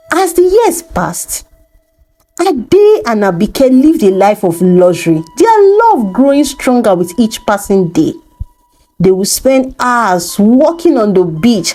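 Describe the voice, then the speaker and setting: A woman's voice: on the high side, mellow. A woman narrating a folktale as a storyteller, reading from a script.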